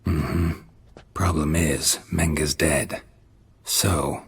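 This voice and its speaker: An actor playing a stoic, weary monster hunter in a video game, terse and deadpan, with a gravelly voice. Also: male voice, extremely slow, heavily acted. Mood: dry, calm. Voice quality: gravelly low voice.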